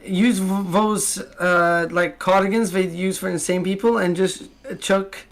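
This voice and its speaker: A game master chatting casually, halting with many uhs and teasing the others. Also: mid-range voice, steady tone. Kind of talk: casual chat between game sessions.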